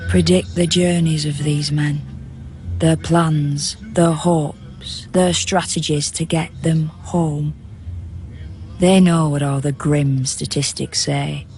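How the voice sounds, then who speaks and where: A woman narrating a poetic radio drama in a measured, hushed, deliberate way, as a grave observer of men sleeping rough. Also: sits low, fairly even intonation. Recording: faint background noise and ambience.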